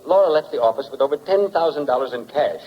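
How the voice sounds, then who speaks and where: Actor playing a fast-talking, worried company president. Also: male voice, fairly high pitch, expressive, natural voice. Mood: anxious, polite. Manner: polite, hesitant. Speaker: radio drama actor. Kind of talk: 1940s radio drama acting. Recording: dull, lo-fi 1940s radio recording.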